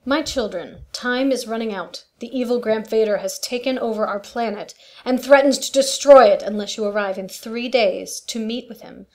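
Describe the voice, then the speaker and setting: Woman doing a worried queen mom, highish voice, solemn and urgent, begging for help, radio drama. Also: normal speed, few pauses, darkish tone, natural voice. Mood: urgent, pleading. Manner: grave, urgent, pleading. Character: anxious, solemn queen and mother pleading for help. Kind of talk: family radio play acting.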